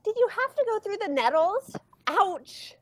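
A woman's voice: very high-pitched, big pitch swings, unhurried, dry recording, exaggerated voice. A woman voicing a fussy, lovestruck young female duck in a live comic parody dub. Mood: fussy, flustered.

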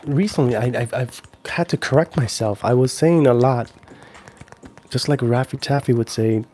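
A middle-aged man casually chatting with viewers on an ASMR live stream, drifting between soft murmured talk and whispers close to the mic. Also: mid-range voice, moderate pace, slight background noise. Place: small indoor gaming room. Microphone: handheld-style recorder mic close to the mouth.

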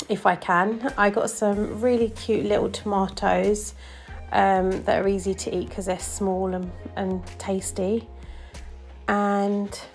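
A woman giving a casual, chatty voice-over for her vlog, with frequent ums. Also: mid-range voice. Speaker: vlogger. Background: faint background music.

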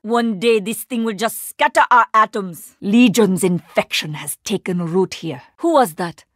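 A woman voicing a composed, determined heroic archer and scientist in a video game, speaking in a formal, measured, precise way. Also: medium pitch, dry recording, natural voice. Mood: focused. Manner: formal, measured, precise wording with few contractions. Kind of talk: video game character voice lines.

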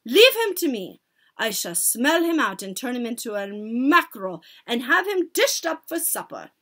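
A woman plays a bossy, menacing storybook witch queen. Her voice is high and swings widely as she barks out commands with scorn and stilted phrasing. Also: sing-song, normal speed. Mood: commanding, menacing. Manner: commanding, shouted declarations in stilted foreign-sounding grammar.

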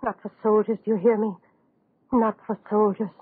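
A woman's voice: medium pitch, little pitch movement, moderate pace, breathy edge. An actress playing an idealistic, defiant woman scientist with impassioned, pleading delivery in a 1930s radio horror drama. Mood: horrified defiance. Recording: muffled old 1930s radio recording.

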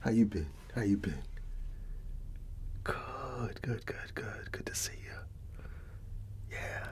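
A middle-aged man doing a casual ASMR barber roleplay, playing an easygoing uncle in a soft, breathy, often whispered voice and pausing for unheard replies. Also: medium pitch, lively intonation. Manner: unhurried, familiar and colloquial, with pauses for unheard replies. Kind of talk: ASMR roleplay, casual one-sided chat.

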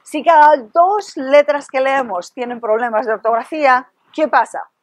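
A middle-aged woman presenting a lecture in a classroom, animated, asking the room rhetorical questions and tossing in "ok" and "right" as fillers. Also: high-pitched, normal speed. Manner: animated, gestures a lot, sprinkles English fillers like "ok" and "right"; asks the audience rhetorical questions. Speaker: workshop presenter. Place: classroom with projection screen. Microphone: room camera at a distance.

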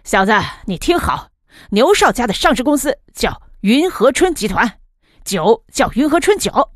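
A woman's voice: on the high side, big pitch swings, moderate pace, no room sound, clean studio sound. A woman voicing a smug young male lackey in an audiobook, boastful and condescending. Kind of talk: audiobook character dialogue.

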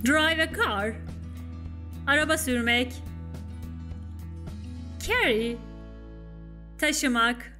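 A woman's voice: high voice, expressive, extremely slow, pauses often, pushed and bright, soft background music. A Turkish woman narrating a scripted English lesson as a teacher, with careful, instructive enunciation.

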